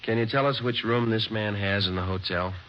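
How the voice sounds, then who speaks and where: An actor playing a dogged, weary police detective who narrates his own case in a flat, clipped deadpan, in a 1950s radio drama. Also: fairly low pitch, fairly quick, slight background noise, natural voice. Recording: dull old radio recording.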